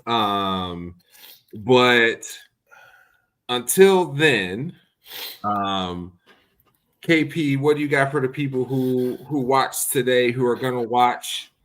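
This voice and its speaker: A man hosting a casual podcast, relaxed, with filler ums as he wraps up the show. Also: mid-range voice, slow, pauses often. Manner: relaxed, with filler ums while listing thank-yous. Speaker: podcast host. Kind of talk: casual podcast chat and sign-off.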